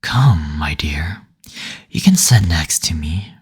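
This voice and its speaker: Suave demon receptionist, male actor: deep, heavily breathy voice, seductive and teasing, for intimate audio roleplay. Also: expressive, heavily acted. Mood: seductive, attentive. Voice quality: breathy, husky, near-whispered. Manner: slow, coy teasing with endearments and drawn-out hums.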